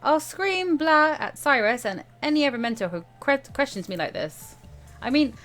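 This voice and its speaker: A woman's voice: high voice, animated, moderate pace, fairly bright tone, pitched above the performer's own voice. A woman voicing a young female student in a visual novel, flippant, easily bored and flustered, with a hesitant, whiny, rambling manner. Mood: exasperated. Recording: music behind the voice.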